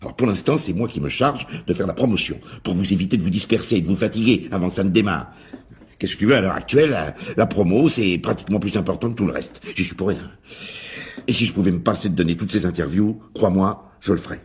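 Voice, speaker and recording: An actor playing a bossy, effusive theatre director in a French radio drama, brisk and patronising with endearments. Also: male voice, sits low, close to an everyday voice. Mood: brisk, dismissive.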